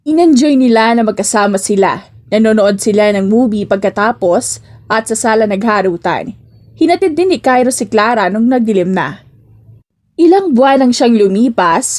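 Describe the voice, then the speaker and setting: A woman's voice: medium pitch, animated, moderate pace, darkish tone. A woman reading scripted romance story narration as an audiobook narrator.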